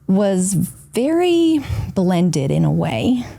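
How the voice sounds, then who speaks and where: A woman's voice: medium pitch, sing-song, drawn-out words, creaky, warm tone, no echo. A woman from Argentina, a podcast guest, talking reflectively in long flowing sentences. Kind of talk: casual podcast conversation.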